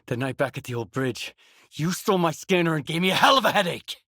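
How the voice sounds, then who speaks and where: An actor playing a gruff, cynical and weary stalker in a video game, terse and sardonic in manner, with a husky voice. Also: male voice, on the high side, expressive, fast, bright, forceful tone, dry recording, clean studio sound, exaggerated voice. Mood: angry, heated. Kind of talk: video game voice lines, dramatic acting.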